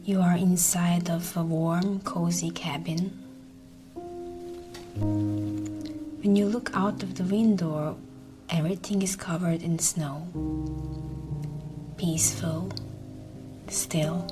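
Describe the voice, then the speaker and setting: A woman leads a guided meditation in a soft, slightly low voice. She speaks very slowly and gently, often close to a whisper, with a soothing, breathy delivery. Music plays clearly behind her words. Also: fairly even intonation. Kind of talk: slow guided meditation narration.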